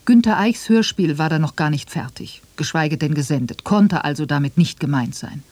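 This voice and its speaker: A woman's voice: deep voice, normal speed, a little mellow, dry recording. A woman speaking scripted, argumentative commentary in a radio feature, firm and matter-of-fact.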